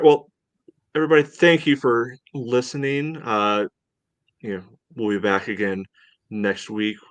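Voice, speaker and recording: A middle-aged man hosting a casual sports podcast, meandering and halting, often padding his sentences with filler phrases. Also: mid-range voice, fairly bright tone, no echo. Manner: halting, meandering delivery with frequent "you know". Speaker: podcast host.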